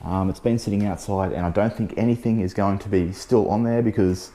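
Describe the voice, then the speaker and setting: A man with a lowish, mellow voice that has gone slightly hoarse. He talks in a relaxed, chatty way as he walks through a car-care test, filling gaps with easy "so yeah" asides. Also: a little fast. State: losing his voice, a bit hoarse. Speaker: car detailing channel host. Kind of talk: casual product-test vlog narration. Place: outdoors beside a test panel.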